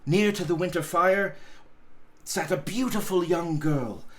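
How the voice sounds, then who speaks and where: A man reads a story aloud as narrator. His pace is very slow and deliberate, with expressive phrasing and frequent pauses. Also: high-pitched, a little hiss or hum.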